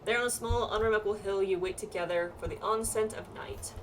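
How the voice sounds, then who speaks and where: A woman's voice: mid-range voice, brisk, distant mic, slight background noise. A woman streaming a game playthrough with friends, reading on-screen text aloud and adding her own asides.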